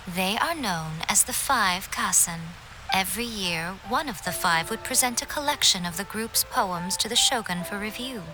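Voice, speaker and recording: A woman voicing a poised, teasing noble lady, unhurried and playfully condescending, in a video game. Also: mid-range voice, natural voice, low-quality recording. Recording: music behind the voice.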